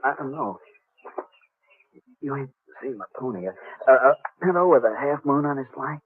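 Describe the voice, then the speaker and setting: Weak, wounded young cowboy, husky. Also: male voice, medium pitch, expressive. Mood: wary, weak. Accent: American Western drawl. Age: young adult. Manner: halting, folksy speech. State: in pain, weak. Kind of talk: 1950s radio western acting. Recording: muffled, tinny old radio recording.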